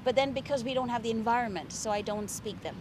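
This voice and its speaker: A woman's voice: on the high side, fast. A middle-aged woman from India who speaks English with an American accent, answering interview questions as an interpreter in fluent, well-ordered points. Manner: fluent, explains in numbered points. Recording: street traffic noise. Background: passing vehicles.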